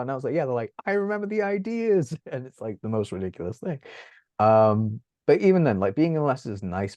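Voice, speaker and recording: A man, chatting as an interview guest from his bedroom at home, animated and laughing often. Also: medium pitch, dry recording. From England. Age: 30s. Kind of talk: casual interview chat. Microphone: video call.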